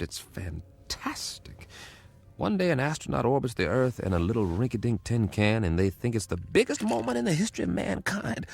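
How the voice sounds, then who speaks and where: A man's voice: on the low side, vocal fry, full character voice. An actor playing a world-weary government codebreaker in a radio drama, sardonic and conversational as he tells his story. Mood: sardonic, bemused. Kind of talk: radio drama narration and dialogue.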